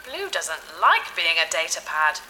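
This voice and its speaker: A woman's voice: low voice, very animated, unhurried, music in the background, rough recording. A woman playing a naive, childlike ship AI with a villainous streak in a sci-fi audio drama, bluntly calling itself by name. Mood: playful.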